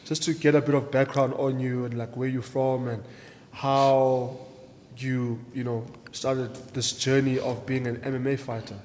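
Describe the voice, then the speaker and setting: A man's voice: medium pitch, flat delivery, a little slow, darkish tone, a little hiss or hum. A man hosting a casual interview, chatting informally with lots of "you know" along the way. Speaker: podcast host and interviewer. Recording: reverberant hall-like room.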